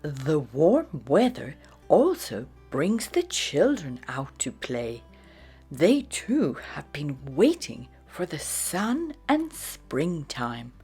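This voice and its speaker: Lady reading a kids picture book, really breathy, lowish voice, super expressive and dramatic. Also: takes it slowly, soft background music. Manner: dramatic, with hushed phrases and sudden exclamations. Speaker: storyteller and host of a children's read-aloud show. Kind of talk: expressive children's storybook read-aloud.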